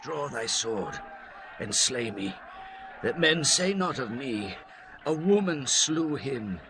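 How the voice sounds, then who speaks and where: A man's voice: mid-range voice, very animated, takes it slowly. A man playing a proud, dying warrior king in a dramatized scripture reading, giving pleading commands in archaic words. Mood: desperate pride. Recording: clearly noisy background ambience. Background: noisy ambience.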